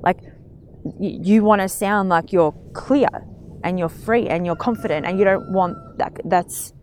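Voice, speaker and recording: A woman co-hosting a podcast, chatting in a supportive, encouraging and animated way. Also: medium pitch, a little slow, slight background noise. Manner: encouraging, animated, talks about astrology. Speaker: podcast co-host. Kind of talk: casual supportive chat.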